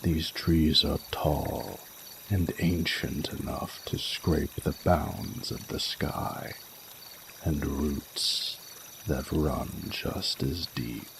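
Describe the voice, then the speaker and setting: Ancient male dragon lover, played by a man: rumbling bottom-register voice, gravelly, regal and flirtatious, formal archaic delivery over trickling water. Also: noisy background, exaggerated voice. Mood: proud, serene. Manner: formal archaic phrasing, slow deliberate pauses, seductive. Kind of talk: romantic audio roleplay, intimate monologue to the listener.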